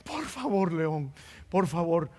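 A man's voice: on the high side, lively intonation, slow pace, a little mellow. A man voicing a terrified man in a gorilla suit, pleading desperately over and over. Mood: begging.

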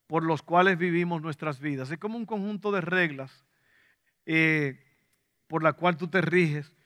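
High, bright Dominican preacher. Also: male voice. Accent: Dominican Spanish. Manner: emphatic preacher, repeats key points, asks the congregation questions. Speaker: pastor. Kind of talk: sermon.

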